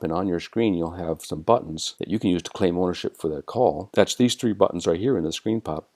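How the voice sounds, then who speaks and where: An American man narrating an instructional software screencast in a methodical, step-by-step way. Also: sits low, vocal fry. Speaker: software tutorial presenter.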